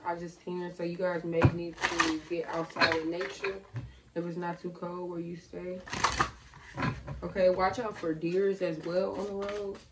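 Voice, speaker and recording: A young woman reading tarot cards in a casual, slangy way, with a slightly raspy voice, talking at a table in her bedroom. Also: fairly low pitch, little pitch movement, measured pace, heavy background noise. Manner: chatty, slangy, thinking aloud. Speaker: tarot reader. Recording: roomy, a bit far from the mic.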